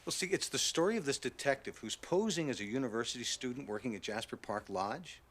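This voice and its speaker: A man's voice: mid-range voice, lively intonation, fast talker, a bit bright. An actor playing an eager, modest 1930s male schoolteacher in a television period drama, talking in boyish slang. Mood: sheepish, modest. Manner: slangy, boyish, self-deprecating.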